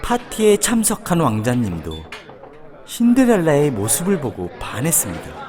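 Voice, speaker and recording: Animated male fairy-tale narrator. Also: fairly high pitch, moderate pace, crowd noise. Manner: storytelling for children. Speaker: storybook narrator.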